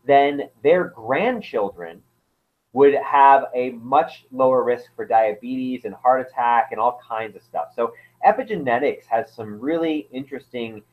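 A man's voice: medium pitch, a little slow. A man explaining as an anthropologist guest in a casual interview, hesitant, with many fillers and restarts. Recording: tinny phone-like line.

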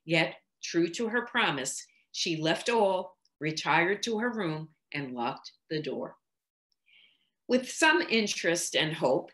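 An older woman reading a literary story aloud in an expressive, measured, articulate manner. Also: lowish voice. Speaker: reader.

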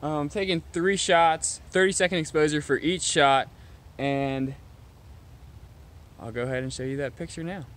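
A young man vlogging casually to a handheld camera as he hikes a wooded mountain trail, relaxed and upbeat. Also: a little high, moderate pace, long pauses. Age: young adult. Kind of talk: casual vlog narration while hiking. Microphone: handheld camera at arm's length.

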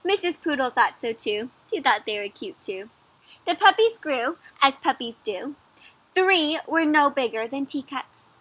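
A young woman with a high, bright voice hosts a read-aloud for kids. She speaks expressively, pitching everything to small children and inviting them in with questions. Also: expressive, a little slow, dry recording. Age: young adult. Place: outdoors in a garden or patio.

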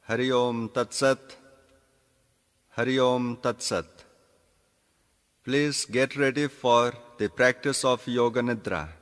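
Male meditation guide, mid-pitched, deliberate short phrases at a very slow pace, spacious reverberant sound. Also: fairly even intonation, a bit bright. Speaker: yoga nidra instructor. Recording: long reverberant hall echo.

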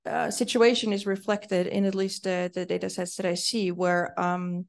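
A woman speaks in a gravelly, fry-laced voice. She answers panel questions thoughtfully, pausing with ums and restarting her sentences. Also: fairly low pitch, flat delivery. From Switzerland. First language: Swiss German. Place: lecture room.

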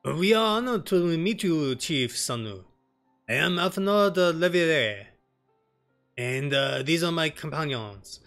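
A man's voice: a little high, expressive, unhurried, a bit bright, faint music behind. A man voicing an earnest, courteous young elf nobleman with polite, formal speech in a fantasy game.

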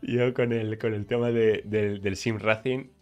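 A man's voice: lowish voice, steady tone, measured pace, darkish tone, clean tone, highs cut off. A man in his forties with a Spain Spanish accent, hosting a casual, colloquial interview at his gaming desk with a headset mic. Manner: friendly, colloquial, reads chat aloud. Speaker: streamer host. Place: gaming setup room, indoors.

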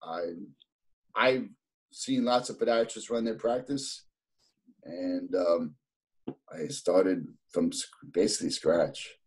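A man's voice: deep voice, slow, long pauses, low-quality recording. A man in his fifties from New York City with a New York accent, a podiatrist guest chatting casually on a video call, rambling with many fillers. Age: 50s. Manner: rambling, many fillers and restarts, laughs easily. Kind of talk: casual interview. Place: indoor office.

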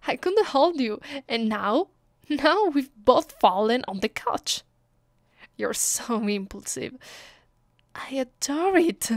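A woman with an Italian accent playing an affectionate, playful vampire girlfriend in an ASMR roleplay, speaking softly, intimately and teasingly. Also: high-pitched, animated, slow pace, no room sound, clean studio sound, exaggerated voice. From Italy. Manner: soft, tender, teasing, intimate. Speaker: voice actress.

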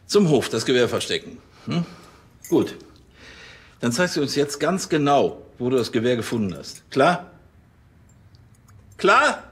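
A man's voice: mid-range voice, sing-song, unhurried, pauses often, slightly rough, dry recording, heavily acted. An actor playing a fussy, self-important policeman in a radio drama, stammering and tagging questions onto sentences. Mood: bossy, eager. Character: fussy, blustering male police officer, overeager and self-important.

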